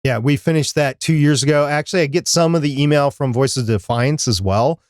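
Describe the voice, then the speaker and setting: A man's voice: a little high, fairly quick, no room sound, studio quality. A man speaking as a podcast co-host in a casual, conversational chat.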